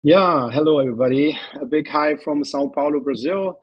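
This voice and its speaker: A man's voice: on the high side, fairly quick, breathy edge. A man with a German accent, a native German speaker, introducing himself in a friendly way with loose phrasing. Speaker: consultant. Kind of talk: self-introduction. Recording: dull, dry lo-fi audio.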